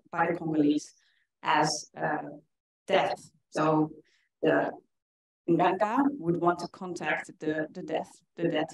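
An earnest young woman from the Netherlands presents her project at a conference, with a fairly low voice. She pauses often with "um" and "uh". An audience is clearly heard in the hall around her. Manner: earnest, with frequent fillers. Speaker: conference speaker. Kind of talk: presentation talk.

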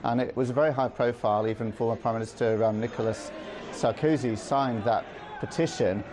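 Earnest middle-aged man in a news interview. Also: medium pitch. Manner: measured, earnest, with frequent ums. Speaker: interview guest. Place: studio with city view.

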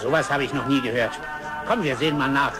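An actor playing a sly animal hunter who poses as a jovial professor, oily, theatrical and mock-polite, in a cartoon dub. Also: male voice, mid-range voice, fast, over loud music, natural voice. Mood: scheming. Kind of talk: German-dubbed cartoon dialogue.

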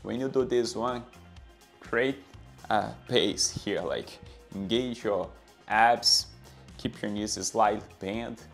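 A man's voice: lowish voice, takes it slowly, vocal fry, faint music behind. A man in his thirties from Brazil with a Brazilian Portuguese accent, a fitness trainer giving exercise instructions to camera and counting reps aloud. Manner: coaching, counts reps aloud, non-native grammar. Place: bright indoor room with anatomy posters. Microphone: clip-on lapel mic.

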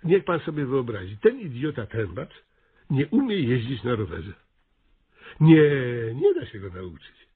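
An actor playing an exasperated, bossy small-town mayor in a radio comedy, stammering and exclaiming with absurd earnestness. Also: male voice, medium pitch, huge pitch swings, measured pace, slightly rough, phone-quality sound, exaggerated voice. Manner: stammering repetitions, bureaucratic, exclamatory. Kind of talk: radio comedy play acting.

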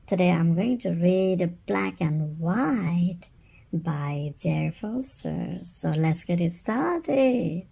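A woman hosts a read-aloud for very young children. She speaks very slowly, with a soft, mellow tone and some creak. Her delivery is sing-song and repetitive, as if talking straight to toddlers. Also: lowish voice, expressive. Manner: sing-song, repeating words playfully, addressing small children. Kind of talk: picture-book read-aloud for babies and toddlers.

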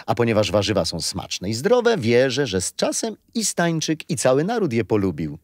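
An actor playing a kindly, patient grandfather who explains history with gentle amusement in a children's radio play. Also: mid-range voice, wildly swooping pitch, moderate pace, dry recording, clean studio sound. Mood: warm, teaching.

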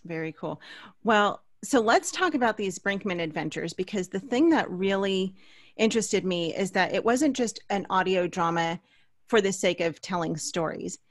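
A woman's voice: mid-range voice, animated, normal speed, no echo. A middle-aged woman hosting an interview in a chatty, storytelling way, recorded close on a studio microphone. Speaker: podcast host. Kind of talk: conversational interview introduction. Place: small indoor home studio. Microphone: large studio microphone up close.

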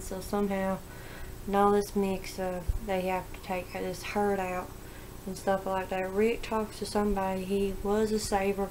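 Flat-voiced young woman vlogging from her bedroom. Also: medium pitch, slight background noise, rough recording. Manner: rambling, halting, frequent restarts and fillers. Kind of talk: casual webcam vlog, TV episode predictions. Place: small bedroom indoors. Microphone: webcam at desk.

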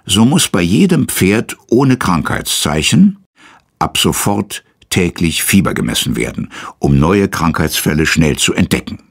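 A man's voice: sits low, husky. A man narrating a children's audio story as its presenter, in scripted storytelling style.

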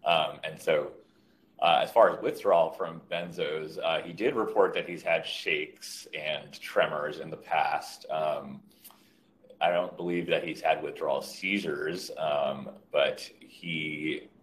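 Very deep-voiced man presenting a case. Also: fairly even intonation, slow, slight background noise. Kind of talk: clinical case presentation, unscripted. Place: indoor room on a video call. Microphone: video call.

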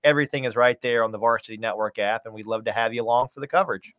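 Male sports guest, thirties, conversational and emphatic, medium pitch, dry video-call sound. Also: rapid-fire, fairly bright tone, no room sound. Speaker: sports play-by-play announcer, podcast guest. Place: small home room, video call.

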